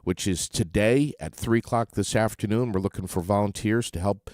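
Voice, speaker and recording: A man's voice: fairly low pitch, moderate pace, darkish tone, no echo, studio quality. A man hosting a casual morning radio show, upbeat and chatty with lots of fillers.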